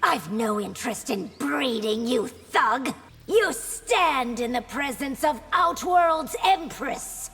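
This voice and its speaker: A woman voicing a proud, defiant empress in a video game, speaking haughtily in a very husky voice. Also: high-pitched, animated, takes it slowly, fairly bright tone, in a large hall, faint music behind, exaggerated voice. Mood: indignant, proud.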